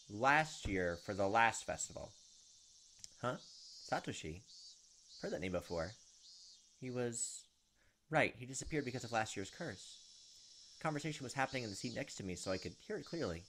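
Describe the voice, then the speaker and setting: A young man reads a visual novel aloud for a gaming video. His voice is of average pitch, he drops in casual asides, and game ambience is clearly audible behind him. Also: animated, moderate pace, pauses often, a bit bright, slight background noise. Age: young adult.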